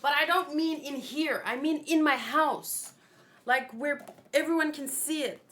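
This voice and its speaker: Higher-pitched woman chatting casually. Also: unhurried, a bit bright, slight background noise. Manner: rambling, informal, swears casually. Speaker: host of a manga read-along. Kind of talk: casual fan chat mixed with animated manga read-aloud.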